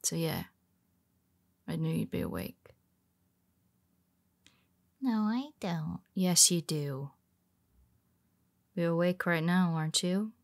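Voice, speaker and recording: Tired superhero woman, voiced by a woman, wry and teasing, unhurried delivery, dry close sound. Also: lowish voice, very animated, gravelly, no echo, exaggerated voice. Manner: teasing, soft-spoken, slips into whispers. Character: weary teasing female superhero, nemesis. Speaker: audio roleplay performer. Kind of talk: intimate audio roleplay.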